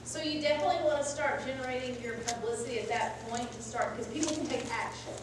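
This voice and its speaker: A woman's voice: sits high, fast talker. A woman presenter giving timing advice at a conference in a casual, upbeat way. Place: large echoey conference room. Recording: echoey room, distant mic, background noise.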